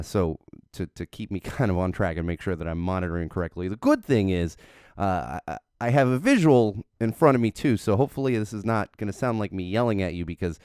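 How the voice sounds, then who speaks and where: A man hosts his podcast alone, his low voice slightly nasal from an ear infection. He talks in a loose, candid ramble, stopping to correct himself. The sound is smooth and dry, close on a studio mic. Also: darkish tone, no room sound. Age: adult, married father. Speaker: podcast host. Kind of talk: casual solo podcast talk. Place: home studio. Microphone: studio microphone with headphones.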